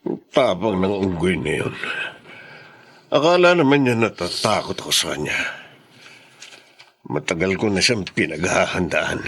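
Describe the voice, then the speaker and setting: Give the voice of a brazen radio drama villain, taunting and threatening his in-laws. It moves slowly with big swings in pitch, and it has a forceful, creaky edge. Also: exaggerated voice. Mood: cold scheming. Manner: mocking, threatening. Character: menacing, greedy villainous brother-in-law, brazen and taunting. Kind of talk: Filipino radio drama acting.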